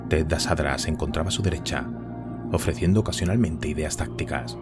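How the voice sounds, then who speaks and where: A man's voice: extremely low pitch, a little fast, loud music behind the voice. A man narrating a scripted war story in a dramatic audio drama style.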